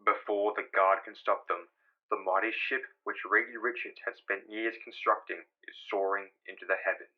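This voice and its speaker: A man's voice: on the low side, fairly even intonation, moderate pace, muffled recording. A man delivering grandiose, theatrical scripted narration in the manner of a dramatic comic-book story.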